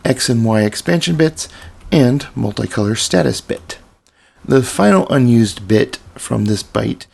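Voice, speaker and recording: A man with a slightly raspy voice narrates a programming tutorial over a screen recording. He talks in a relaxed, explanatory way with casual asides, at a medium pitch, and his voice often drops into a gravelly fry. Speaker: software tutorial presenter.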